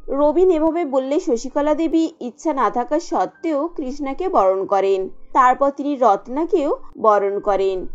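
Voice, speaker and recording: High-pitched woman narrator, slightly dull recording. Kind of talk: scripted cartoon story narration.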